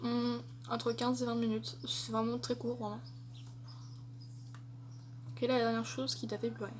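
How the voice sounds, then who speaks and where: Young french-speaking woman rambling thru a q&a, kinda high voice, faint hum behind. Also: level tone, moderate pace. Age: young adult. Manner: chatty, hesitant, many fillers and laughs. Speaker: vlogger. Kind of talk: casual Q&A tag video.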